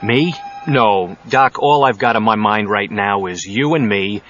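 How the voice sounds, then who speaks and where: A man's voice: on the low side, unhurried, fairly bright tone. An actor playing a self-assured, wealthy leading man in an audio drama, speaking in a wry, understated way. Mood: smooth, flirtatious. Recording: dull cassette audio, faint noise.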